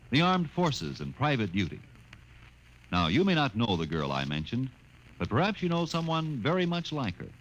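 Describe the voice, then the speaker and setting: A man announcing in earnest, emphatic 1950s radio sponsor style. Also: medium pitch. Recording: faintly noisy 1950s radio recording.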